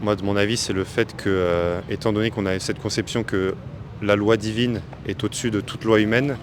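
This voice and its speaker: A young man with a low voice answers on a busy street and works through his point step by step, pausing and hesitating often. Clear traffic noise runs underneath. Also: fairly even intonation. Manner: measured, reasoning step by step, many hesitations. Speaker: passer-by interviewee. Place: busy city square outdoors.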